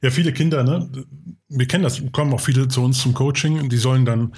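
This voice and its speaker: Man from Cologne, podcast co-host, very husky voice, relaxed. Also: mid-range voice, fast. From Cologne, Germany. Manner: relaxed, gives short agreeing replies. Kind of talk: casual podcast chat.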